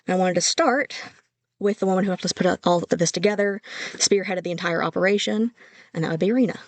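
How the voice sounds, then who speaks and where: A woman's voice: lowish voice, fast talker, no room sound. A young adult woman hosts a casual conversation in a friendly, reflective tone, thinking her ideas through as she speaks. Her voice sounds close and dry. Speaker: podcast host.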